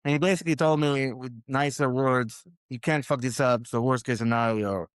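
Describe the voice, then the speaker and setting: A man from Israel, speaking as a founder guest, with a smooth, resonant voice at a middle pitch. He tells animated stories, full of fillers and restarts. The sound is close and dry. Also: moderate pace, dry recording. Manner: animated anecdotes with fillers and repeated words. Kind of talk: conversational storytelling.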